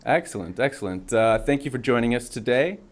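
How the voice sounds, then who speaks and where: A man's voice: medium pitch, animated, a little fast. A man hosting an interview, asking questions with many ums and repeated words. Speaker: interview host. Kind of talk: casual interview.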